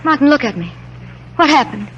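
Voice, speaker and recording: Casting: supportive young American wife, fairly high voice, muffled old radio-play sound. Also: female voice, lively intonation, brisk, a bit bright. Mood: tender, concerned. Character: devoted, perceptive young doctor's wife. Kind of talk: 1940s radio acting. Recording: muffled, faintly noisy 1940s radio recording.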